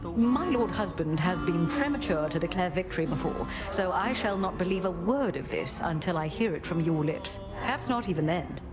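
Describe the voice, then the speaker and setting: A woman's voice: lowish voice, lively intonation, normal speed, over loud music, lightly acted. A woman playing a mature, skeptical goddess queen in a video game, with an imperious, dry and formal delivery. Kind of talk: dramatic video game voice acting.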